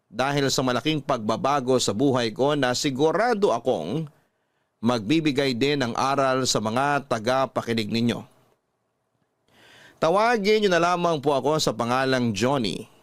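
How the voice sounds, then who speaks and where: A man's voice: mid-range voice, fairly bright tone, no room sound. A Filipino man with a scripted, dramatic storytelling delivery, hosting and reading a listener's letter as narrator. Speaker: radio drama host and narrator. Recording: dry studio voice over background music. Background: music.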